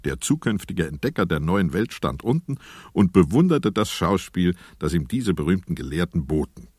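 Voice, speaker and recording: A wry storyteller in a radio play. His very low voice is slightly rough, with lively intonation and a dry, close sound. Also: normal speed, no echo, exaggerated voice. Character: wry storyteller of a satirical historical tale. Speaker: narrator. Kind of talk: scripted radio play narration.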